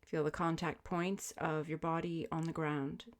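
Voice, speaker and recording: A woman guiding a sleep meditation as a yoga teacher, speaking in gentle, soothing, measured invitations. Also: low-pitched, flat delivery. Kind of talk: guided sleep meditation, spoken instruction.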